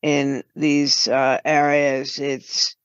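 A woman giving explanatory, halting answers as a guest in an unscripted interview. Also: very deep voice, flat delivery, clean tone, no room sound, dull audio. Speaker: podcast guest, writer and producer.

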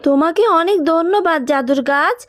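A woman's voice: very high-pitched, moderate pace, no echo, clean studio sound. A woman voicing a caring, anxious mother fox in a cartoon, talking tenderly and expressively. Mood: eager, tender.

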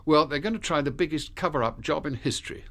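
Clipped British spymaster. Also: male voice, on the high side, quick pace, a bit bright. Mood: grave, wry. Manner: clipped, matter-of-fact. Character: dry, authoritative British male intelligence chief. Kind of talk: radio drama acting.

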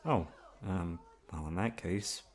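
A young man playing a shy, easygoing college classmate in an ASMR roleplay, talking casually in a hesitant, halting way. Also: deep voice. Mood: casual, friendly. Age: young adult. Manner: hesitant, halting, awkward chuckles. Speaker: voice actor. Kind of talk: ASMR roleplay audio drama, casual conversational.

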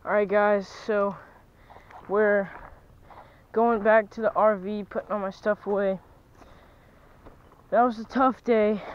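A young man, vlogging as he fishes from a lake bank, chatty and excitable, dropping to a near-whisper at times. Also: high-pitched, clear, resonant tone, lo-fi sound. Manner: chatty, excitable, drops to near-whisper while stalking fish. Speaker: fishing vlogger. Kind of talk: casual vlog narration. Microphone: chest-mounted action camera.

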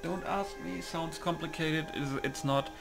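Slow, creaky-voiced man muttering through game settings. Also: on the high side, fairly even intonation, over music. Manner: muttering to himself, casual swearing. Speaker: gamer recording a mod setup. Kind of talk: casual game commentary, reading settings aloud.

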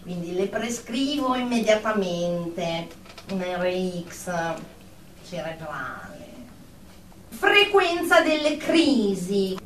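A woman's voice: medium pitch, very animated, slow. A woman acting a stern, pompous psychiatrist with a clinical, scolding manner in a radio drama. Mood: clinical, accusing. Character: stern, officious female psychiatrist assessing a patient. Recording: roomy, distant, noisy amateur recording.

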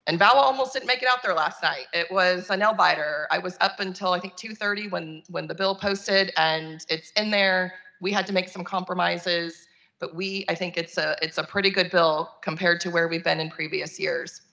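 A woman's voice: fairly low pitch, normal speed, creaky, pushed and bright, lo-fi sound. A woman giving a conversational, earnest keynote talk over a video call. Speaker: keynote speaker, nonprofit chief executive.

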